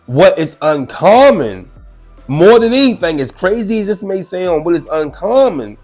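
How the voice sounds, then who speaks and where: A congested, sick man hosting his own show, chatting loosely and animatedly about a news clip. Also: on the high side. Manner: chatty, animated, repeats himself. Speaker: show host. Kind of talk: casual commentary on a news clip.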